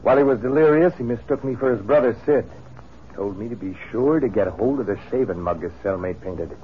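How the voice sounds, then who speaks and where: An actor playing a hard-bitten, scheming escaped convict in a 1950s radio western. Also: male voice, medium pitch, a little fast, close to an everyday voice. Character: ruthless male escaped convict, scheming gold hunter. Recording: tinny, hissy old radio recording.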